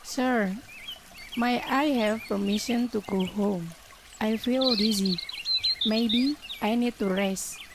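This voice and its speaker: A woman's voice: a little high, slow, noisy background. A young woman playing a polite, weary student in an amateur radio drama. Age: young adult.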